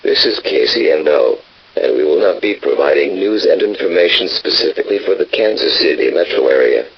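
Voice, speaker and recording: A man's voice: a bass voice, animated, a little fast. A man playing a grim station announcer who reads a formal, official emergency bulletin on the radio. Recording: dull lo-fi radio with hiss.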